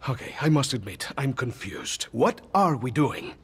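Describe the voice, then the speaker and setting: A man playing a stoic, courteous hitman with a husky voice, speaking formally and deadpan in a video game cutscene. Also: mid-range voice, normal speed, heavily acted. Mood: puzzled, formal.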